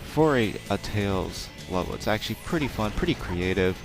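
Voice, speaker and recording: A young man giving rambling, casual let's play commentary with a naturally quiet voice. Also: lowish voice, expressive, brisk, creaky. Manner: rambling, chatty, self-deprecating, many fillers. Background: game music.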